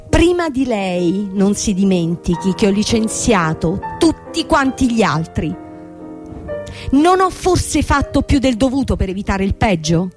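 A proud young company boss fallen into ruin, in a radio play. She is formal, emphatic and reproachful, at a medium pitch, over faint music. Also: darkish tone. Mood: defensive. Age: young adult. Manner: formal, emphatic, rhetorical questioning. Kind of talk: theatrical radio drama acting.

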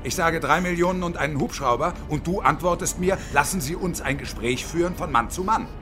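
An actor playing a bitter, desperate hostage-taking engineer in his fifties in a radio drama, with sardonic, menacing, deliberate phrasing. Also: on the high side, over music, lightly acted. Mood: agitated, desperate. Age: middle-aged.

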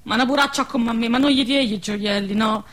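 A woman playing a poor, devoted village wife in a wartime radio drama, with a central Italian dialect accent from southern Lazio and an earnest, expressive manner. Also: fairly high pitch, fairly quick. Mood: pleading. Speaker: radio play actress.

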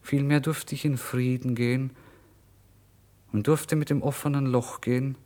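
A man's voice: medium pitch, level tone, measured pace, mellow, natural voice. A male actor playing a despairing, tormented starving writer in a literary radio play monologue, theatrical in delivery. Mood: solemn, haunted. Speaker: actor narrating in first person.

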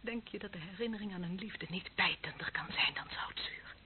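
A woman's voice: mid-range voice, normal speed, close to an everyday voice. An actress playing an embittered, lonely young policewoman in a radio drama, bitter and taunting, often dropping to a whisper. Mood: coldly menacing. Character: embittered, lonely young policewoman, former death squad member. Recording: muffled phone-like band, clearly noisy old recording.

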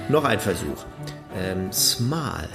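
An eager pedantic language tutor. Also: male voice, deep voice, very animated, drawn-out words, vocal fry, music in the background, full character voice. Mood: coaxing. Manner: pedantic, coaxing, enthusiastic. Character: eager male language teacher, pedantic enthusiast. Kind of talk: radio play acting.

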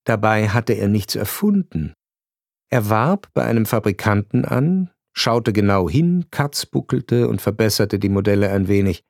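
A man narrating a literary radio drama in a measured, ironic storytelling manner with deliberate pauses. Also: on the low side, expressive, gravelly, a little mellow, no echo, studio quality.

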